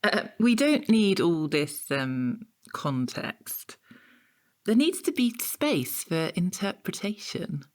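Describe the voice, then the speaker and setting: An actress playing a prim, austere art tutor in an audio comedy drama, clipped and schoolteacherly, with faint disapproval. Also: female voice, lowish voice, very animated, slow pace. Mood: disapproving.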